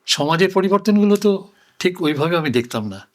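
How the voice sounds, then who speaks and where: Older guy, voice a bit high, looking back on life, says things twice for emphasis. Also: lively intonation, a little fast. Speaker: interview guest. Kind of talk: reflective oral-history conversation.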